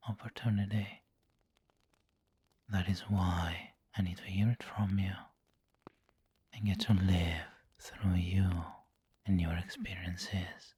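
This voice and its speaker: A man playing a refined, doting vampire lord in an ASMR roleplay, whispering gently and intimately with seductive, protective care. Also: low-pitched, no echo, full character voice. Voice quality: breathy, whispery. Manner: slow, gentle, intimate coaxing.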